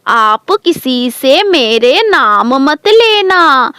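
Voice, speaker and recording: A woman's voice: high voice, very animated, drawn-out words, bright, forceful tone, no room sound, clean studio sound, full character voice. A woman voicing a spiteful, scheming female crow in a children's cartoon story, with a scornful, insistent tattling manner. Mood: slanderous, insistent. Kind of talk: children's animated moral story acting.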